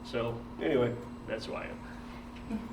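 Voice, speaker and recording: A man's voice: mid-range voice, very animated, unhurried. A man giving informal remarks to a group as a team director. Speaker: team director introducing himself. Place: meeting room. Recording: echoey noisy room, distant mic.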